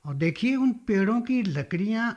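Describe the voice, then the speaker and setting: A high-voiced, wily go-between. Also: male voice, animated, moderate pace, darkish tone. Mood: fawning, persuasive. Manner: deferential, persuasive, scheming. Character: wily, obsequious middleman coaxing landlord and buyer. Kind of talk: Hindi radio drama acting.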